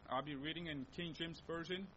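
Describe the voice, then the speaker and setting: A young man from Congo with a Congolese accent, a native Lingala speaker, preaching a sermon as a missionary guest in a church and reading scripture in an earnest, halting way that often repeats and corrects itself. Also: sharp tone. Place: church sanctuary. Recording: dull lo-fi recording with faint rumble.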